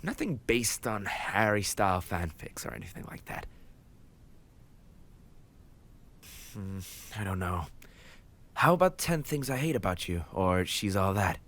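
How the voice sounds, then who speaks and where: Male actor as tough gang leader boyfriend, lowish voice, playful, affectionate teasing, mock grumbling, intimate romantic audio roleplay. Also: animated, moderate pace, a bit bright. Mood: casual, amused. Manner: affectionate teasing, mock complaining, casual swearing.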